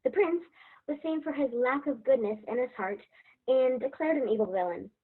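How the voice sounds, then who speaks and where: A woman's voice: high voice, expressive, moderate pace, breathy edge. A woman playing an earnest, hopeful little girl hero in a fairy-tale stage play. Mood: proud storytelling. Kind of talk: stage play acting and storybook narration. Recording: dull, muffled lo-fi sound.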